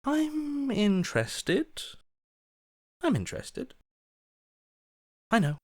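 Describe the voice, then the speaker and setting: A man acting an earnest, wry hero's inner voice in a video game, with an animated, emphatic delivery. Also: high-pitched. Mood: curious, earnest. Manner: animated, sarcastic, exasperated, emphatic. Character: earnest, wry young male hero as an inner voice.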